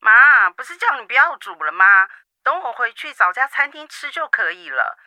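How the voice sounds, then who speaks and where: A busy, evasive married daughter, played by a woman in a radio drama, calling her mother with one excuse after another. Her tone is very bright and sharp, and her pitch swings up and down. The sound is dry and close. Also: very animated, normal speed, no echo, heavily acted. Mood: wheedling. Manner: wheedling and excuse-making, suspicious of others. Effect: phone call.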